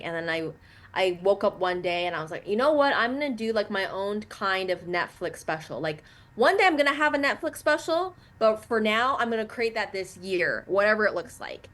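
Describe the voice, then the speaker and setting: A young woman from Canada, a native Mandarin speaker, chatting as an interview guest in an animated way with many fillers. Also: mid-range voice, a little fast. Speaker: guest, actress and comedian. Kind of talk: casual interview chat.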